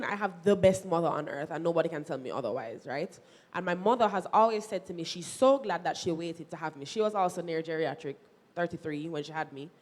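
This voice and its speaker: A young woman panelist speaking in an animated, personal way. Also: on the low side. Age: young adult. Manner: animated, personal, laughs easily. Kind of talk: live panel discussion. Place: event hall, live audience. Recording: long hall reverb.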